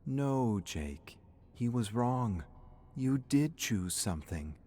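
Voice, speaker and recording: A man voicing a gentle, reassuring mother in soft, tender, steady storytelling dialogue. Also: mid-range voice, takes it slowly. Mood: tender urging.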